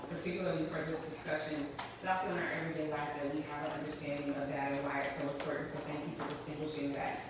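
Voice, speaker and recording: A woman moderating a public panel discussion, speaking earnestly with frequent fillers. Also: low-pitched. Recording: echoey room, mic from a distance, background noise.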